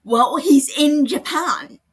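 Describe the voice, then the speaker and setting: A woman voicing an angry, blunt adult male detective in a podfic, with loud, scornful delivery. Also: high voice, takes it slowly, voice pushed up. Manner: furious, scornful, shouting. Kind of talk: podfic character reading.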